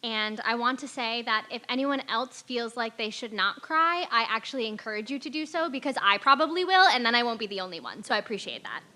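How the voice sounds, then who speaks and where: A woman's voice: on the high side, lively intonation, brisk, few pauses, pushed and bright. A woman hosting an awards ceremony, presenting from semi-prepared remarks.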